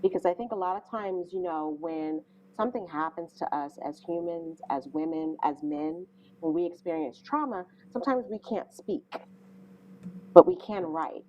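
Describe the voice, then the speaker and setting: A woman's voice: on the low side, animated, normal speed, darkish tone, highs cut off, slight background noise. A woman co-hosting an interview, speaking earnestly and thoughtfully with a big voice and many fillers. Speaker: co-host. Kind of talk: interview questions.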